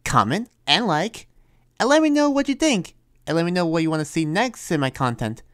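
A man's voice: a little high, sing-song, brisk, fairly bright tone, smooth. A man speaking casually as a gaming host, improvising a roleplay as he plays.

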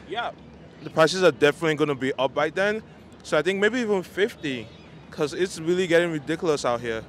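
A nineteen-year-old man with a slightly high voice answers easily and frankly. He stands on a downtown street with light traffic hum around. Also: expressive, a little fast. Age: teens. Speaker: passerby. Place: busy downtown street outdoors.

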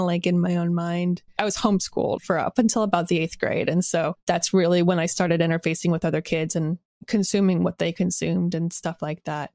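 A woman's voice: lowish voice, darkish tone, dry recording, clean studio sound. An American woman in her thirties from rural Illinois, talking casually and reflectively as an interview guest, rambling and correcting herself. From rural Illinois, United States. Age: 30s. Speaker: podcast guest, audio drama writer and producer.

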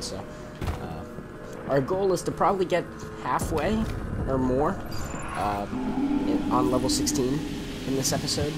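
A young man with a cold and a sore voice, rambling casually while commentating gameplay. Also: medium pitch. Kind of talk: let's play commentary. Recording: voice over loud game audio.

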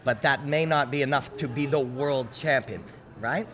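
A man's voice: mid-range voice, moderate pace, fairly bright tone. A middle-aged man hosting a casual interview, engaged and often summing up what his guest says. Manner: engaged, frequent backchannels, sums up guest's points. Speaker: podcast host. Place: hotel room, indoors. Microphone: desk mic on stand.

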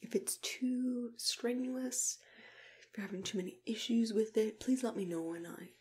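A young woman in an ASMR roleplay as a dentist, speaking in soft whispers in a gentle, unhurried, reassuring manner, close to the microphone. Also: a little high. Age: young adult.

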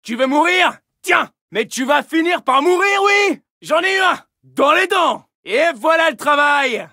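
An actor playing a fierce French soldier, barking urgent, commanding battle lines for a video game. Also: male voice, very high-pitched, expressive, measured pace, pushed and bright, dry recording, raised voice, clean studio sound, heavily acted. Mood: fierce, frantic.

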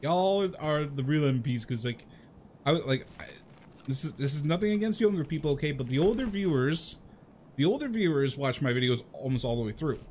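A man's voice: a little high, animated, fairly quick, a little mellow, a little hiss or hum. A man in his thirties chatting casually to viewers as he plays a game, rambling and repeating himself in an informal way. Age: 30s. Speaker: video game livestream host.

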